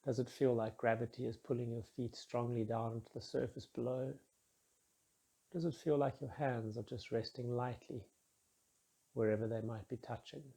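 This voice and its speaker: Mellow, husky man guiding a meditation. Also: medium pitch. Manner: calm, soothing, measured instruction with soft phrase endings. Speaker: meditation guide. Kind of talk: guided meditation.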